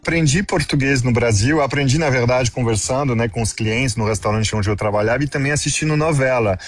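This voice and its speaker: A man's voice: medium pitch, fairly quick, soft background music. Middle-aged man, enthusiastic guest. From France. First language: French. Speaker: interview guest working in gastronomy. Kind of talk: conversational interview answers.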